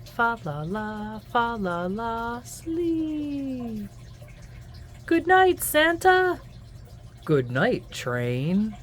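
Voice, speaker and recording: A woman reading a children's picture book aloud in an expressive, singsong storytelling manner. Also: fairly high pitch, slight background noise. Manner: animated, singsong, deliberate storytelling. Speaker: children's book reader and host.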